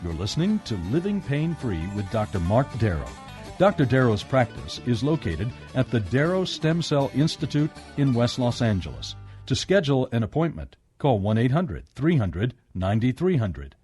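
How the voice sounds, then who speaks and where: Lively male announcer over soft music. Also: medium pitch, normal speed. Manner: smooth, lively promo read. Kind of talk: scripted radio announcement.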